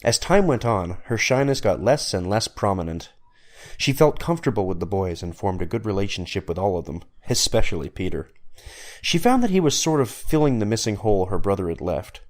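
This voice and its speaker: A man's voice: on the low side, expressive, brisk. A man reading scripted story narration as the narrator.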